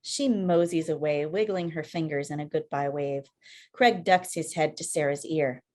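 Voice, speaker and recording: A woman's voice: low-pitched, moderate pace, a little mellow. A woman reading scripted screenplay stage directions as narrator on a video call. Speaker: filmmaker, stage-direction reader.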